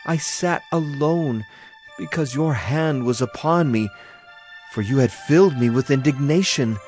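A man's voice: mid-range voice, a little slow, a little mellow, natural voice. A man playing a sorrowful, earnest prophet in a dramatized scripture reading, solemn and declamatory. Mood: anguished pleading. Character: Jeremiah, sorrowful, earnest male Hebrew prophet. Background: music bed.

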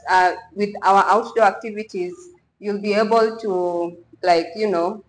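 A young Kenyan woman speaks at a middle pitch, earnest and reassuring about her gym. She hesitates with "um" now and then. She wears a lapel mic in an indoor gym. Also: steady tone, unhurried, low-quality recording. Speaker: gym owner. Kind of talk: interview answers.

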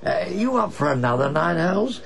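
An actor playing a genial, consoling older English gentleman friend in a radio play, hesitant and old-fashioned in manner. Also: high voice, sing-song, moderate pace, gravelly, crowd noise, full character voice. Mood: chummy, wry. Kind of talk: naturalistic radio drama acting.

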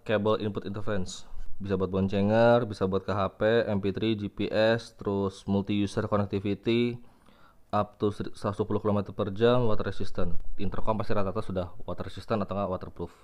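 A man's voice: lowish voice, steady tone, fairly quick, darkish tone. A young man. He talks informally, like a vlogger showing a product to friends. His delivery is chatty and casual, in a home-recorded review style. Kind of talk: casual unboxing and product demo. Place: room at home with tiled floor.

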